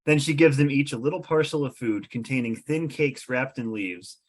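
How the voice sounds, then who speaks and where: A male narrator reads scene directions from a script. His voice sits at a middle pitch, in the style of scripted narration for a table read. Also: moderate pace.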